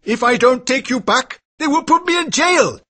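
A man voicing a sly red-bearded male horse trader in a high, loud, theatrical voice. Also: lively intonation, normal speed, husky, full character voice.

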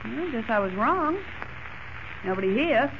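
An actress playing a brisk, curious young woman reporter in old-time radio drama style. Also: fairly high pitch, animated. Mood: curious, uneasy. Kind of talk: 1940s radio drama acting. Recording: muffled, noisy old radio recording.

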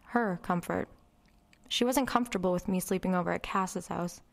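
A woman's voice: fairly low pitch, moderate pace, gravelly. A woman playing a wry, reflective narrator recalling her troubled girlhood in a confiding first-person dramatic narration.

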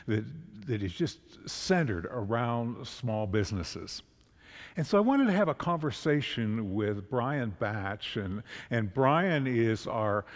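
An older man, a pastor, interviewing a guest during a church service in a conversational way. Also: mid-range voice. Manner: conversational, standing and gesturing. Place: church sanctuary. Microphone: stand mic. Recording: long reverb of a church hall.

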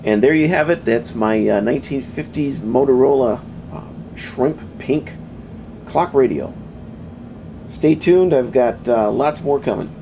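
Man, gravelly and lowish, folksy rambling collector; camera mic, faint hum behind. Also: unhurried, mellow. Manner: rambling, many ums and uhs, folksy. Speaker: vintage radio collector, channel host. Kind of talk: casual show-and-tell narration. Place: indoor room with bookshelves, off camera.

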